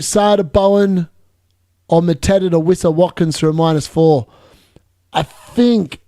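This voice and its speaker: A man in his late thirties hosting a live fantasy football stream, chatty and excitable, laughing often as he answers viewer questions. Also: a little high, no room sound, studio quality. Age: 30s.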